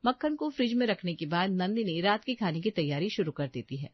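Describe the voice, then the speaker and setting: A woman's voice: on the low side, lively intonation, a little fast, dry recording, lo-fi sound. A woman reading scripted narration for a story.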